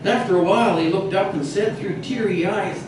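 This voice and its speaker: A man reading a humorous true story aloud as a storyteller, expressive, with some hesitant repeated words. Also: high-pitched, moderate pace. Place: echoey indoor bistro. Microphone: distant microphone in the room. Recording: roomy, echoey, noisy, distant mic.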